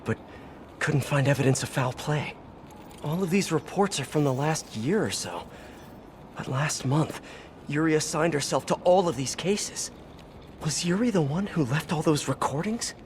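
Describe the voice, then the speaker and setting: An actor playing an earnest young superhero detective in a video game, musing aloud with curiosity and worry over music. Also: male voice, fairly high pitch, slight background noise, natural voice. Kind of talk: video game voice acting, investigative monologue.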